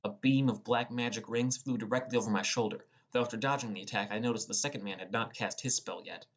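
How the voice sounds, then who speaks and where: A man's voice: on the low side, fast talker. A young man reading scripted first-person fantasy narration as a dramatic storyteller. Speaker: audiobook narrator.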